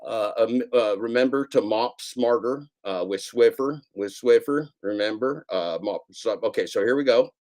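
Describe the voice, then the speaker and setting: A man's voice: fairly low pitch, normal speed, few pauses, darkish tone. A man hosting a casual livestream, rambling and repeating himself with a sarcastic edge. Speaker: streamer host.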